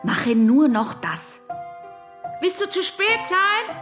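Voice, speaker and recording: A high-voiced, impatient mother in a radio drama, nagging and calling out. Her words are stretched out and swing widely in pitch, with music behind her. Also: big pitch swings, slow pace, exaggerated voice. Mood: impatient scolding. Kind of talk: German radio drama acting.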